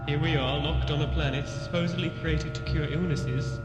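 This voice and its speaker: A man acts out a dignified male alien ambassador in a sci-fi audio drama. He speaks formally and gravely in a slightly high voice. Loud music and heavy echo surround him. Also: steady tone, natural voice. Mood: solemn, measured. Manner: formal, measured speech. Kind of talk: science fiction audio drama acting. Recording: echoey hall reverb under loud music.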